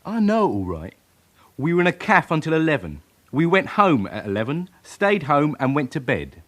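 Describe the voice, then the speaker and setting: Cocky young male suspect, higher-pitched. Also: sing-song, normal speed, fairly bright tone. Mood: sullen. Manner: curt, cocky, sullen. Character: defiant twenty-one-year-old male suspect. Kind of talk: radio drama acting.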